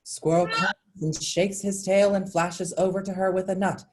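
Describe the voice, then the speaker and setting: A woman's voice: fairly low pitch, fairly even intonation, moderate pace. A woman reading stage directions as the narrator of a staged play, in scripted narration.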